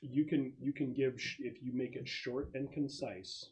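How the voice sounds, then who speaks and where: A man acting as game master, casually narrating scenes and explaining rules in a halting, think-aloud way. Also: mid-range voice, fairly even intonation, darkish tone, rough recording.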